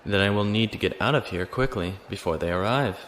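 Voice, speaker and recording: Male actor as sci-fi lieutenant, low pitch, formal, polite, dry, unruffled, audio drama, clear background noise. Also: brisk, a bit bright, natural voice. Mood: cool, firm. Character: composed, courteous noble space-navy lieutenant on the run. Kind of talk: science fiction audio drama acting. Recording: noisy ambient background.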